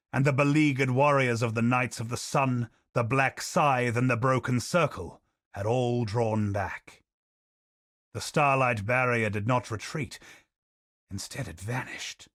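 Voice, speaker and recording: A man voicing a game character who recounts a dark war tale in slow, grave storytelling. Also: medium pitch, dry recording, clean studio sound. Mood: somber. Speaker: game character narrating lore. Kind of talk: video game voice lines, lore recounting.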